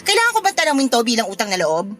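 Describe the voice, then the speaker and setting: A woman's voice: fairly high pitch, huge pitch swings, very fast, a bit bright, full character voice. An actress with a Filipino accent playing a sarcastic, spiteful, unrepentant neighbour woman in a radio drama. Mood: mocking, smug. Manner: mocking, flippant, dismissive.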